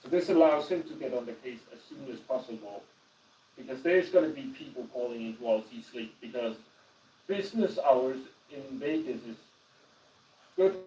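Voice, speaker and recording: A man with a Danish accent gives an animated, casual walkthrough of AI phone agents on a live stream, often restarting his sentences. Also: medium pitch, moderate pace, recorded from a distance, slight background noise. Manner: animated, explanatory, with many restarts. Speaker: guest explaining AI phone agents. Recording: roomy echo, voice away from the mic.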